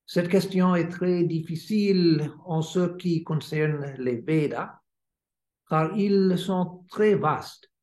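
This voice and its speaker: An American man, a native English speaker, reads a spiritual lecture in careful, hesitant French with many pauses. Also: on the high side, a little mellow. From United States. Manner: halting, many hesitations while reading. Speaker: swami giving a lecture. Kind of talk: read-aloud spiritual lecture. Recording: roomy echo.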